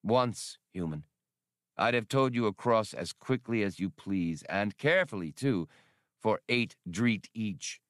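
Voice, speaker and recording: A man's voice: fairly low pitch, lively intonation, unhurried, a bit bright, dry recording, studio quality. A man voicing a huge, battle-scarred old creature in a storybook, proud and defiant, speaking formally with a whistling voice. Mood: proud, offering. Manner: solemn, formal, declamatory.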